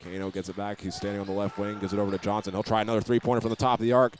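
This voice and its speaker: Low, even-toned American man calling basketball. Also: racing, slight background noise. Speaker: play-by-play announcer. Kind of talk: live basketball radio commentary. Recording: clear gym ambience and ball bounces. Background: live basketball game in a high school gym.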